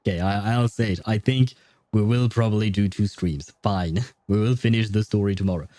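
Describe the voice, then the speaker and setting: Mellow male game streamer, dry sound. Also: lowish voice, brisk, no echo. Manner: rambling, self-deprecating banter with viewers. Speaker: video game livestreamer. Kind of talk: casual livestream chat while gaming.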